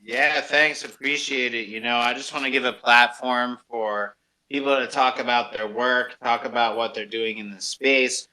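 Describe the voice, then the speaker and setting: A man speaks as the host of an interview show. His voice is strikingly bright and forceful, sitting at a medium pitch. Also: steady tone, moderate pace, rough recording. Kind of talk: interview hosting.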